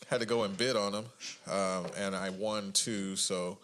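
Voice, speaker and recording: A man's voice: sits low, fairly even intonation, a little slow, fairly bright tone, a little hiss or hum. A man co-hosting a comedy podcast who talks in a playful, chatty way. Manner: playful, chatty, full of fillers and restarts. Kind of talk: casual comedy podcast chat.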